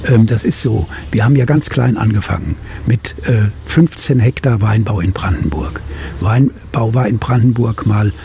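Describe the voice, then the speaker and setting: An older man with a Rhineland German accent, a winery owner answering interview questions haltingly, with many filler sounds, outdoors at a vineyard. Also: lowish voice, gravelly, warm tone, slight background noise. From Middle Rhine, Germany.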